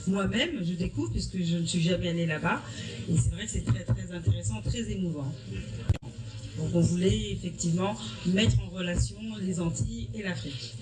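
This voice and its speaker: A woman's voice: on the low side, moderate pace, over music. A middle-aged woman from Africa, a teacher answering interview questions earnestly and often restarting her sentences. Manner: earnest, explanatory, with frequent restarts. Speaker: history-geography teacher. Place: evening school event. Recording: distant mic with noisy room.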